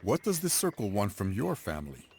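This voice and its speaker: An actor playing a solemn, stoic warrior and protective brother in a dramatic game cutscene. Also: male voice, fairly low pitch, wildly swooping pitch, a little fast, a little mellow, slight background noise, exaggerated voice. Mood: wary. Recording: clear music behind the voice.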